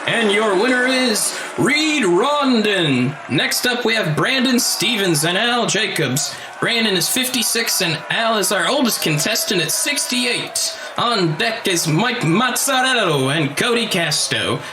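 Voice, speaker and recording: A man's voice: high-pitched, expressive, measured pace, background noise. A man acting in an audio drama as a showy but loyal, brotherly fight-ring announcer. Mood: showy, announcing.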